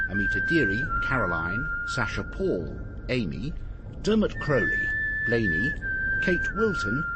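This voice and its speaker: A man's voice: mid-range voice, rough recording. A man formally announcing the cast credits of a radio drama.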